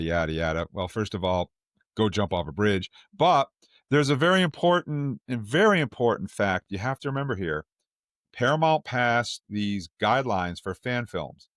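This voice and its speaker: A man's voice: mid-range voice, fairly bright tone, no echo, studio quality. A man hosting a fan podcast, making his points in an emphatic, insistent, casual way. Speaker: podcast host. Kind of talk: casual opinionated fan discussion.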